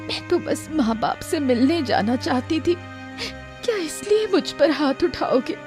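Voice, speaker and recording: Meek cartoon wife female actor, gentle, timid, pleading, somewhat high voice, music underneath. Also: animated, unhurried. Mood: hurt. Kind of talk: cartoon moral-story voice acting. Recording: music behind the voice.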